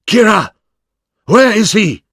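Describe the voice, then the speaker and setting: Guy putting on a stern samurai chief, loud and high-pitched, barking orders, dry sound. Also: animated, slow pace, creaky, no echo, heavily acted. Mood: urgent shouting. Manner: urgent, raised shouting voice. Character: stern samurai leader, grown man, commanding.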